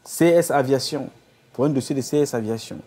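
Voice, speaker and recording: A man's voice: medium pitch, a little fast, dark tone, husky. A man from Cameroon, an activist leader giving an impassioned address to his followers, full of repeated exhortations. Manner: exhorting, repetitive rhetorical appeals.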